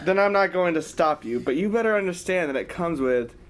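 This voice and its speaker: A man's voice: high voice, lively intonation, fairly quick, crowd noise. A man co-hosting a stream, reading fan fiction aloud in a playful, dramatic way. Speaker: stream co-host.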